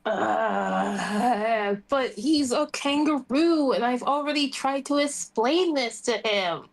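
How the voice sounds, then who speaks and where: Woman, slightly high voice, casual off-the-cuff tabletop game narration. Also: animated, slow, creaky, rough recording. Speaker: tabletop RPG player. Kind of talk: casual improvised roleplay narration.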